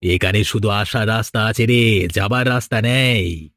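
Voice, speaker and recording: A man's voice: lowish voice, a bit bright. Man voicing a menacing man-eating cartoon ghost. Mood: sinister taunting. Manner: taunting, gloating, with drawn-out threats. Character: menacing man-eating male ghost who runs a biryani stall. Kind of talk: horror cartoon voice acting.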